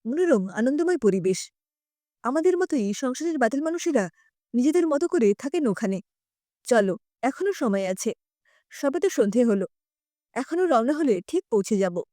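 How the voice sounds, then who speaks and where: Ageing bachelor for an audio story, read by a woman: gentle, resolute, devoted, mellow tone. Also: sits high, sing-song, moderate pace, long pauses, no echo, clean studio sound. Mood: gently hopeful. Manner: soft but firm.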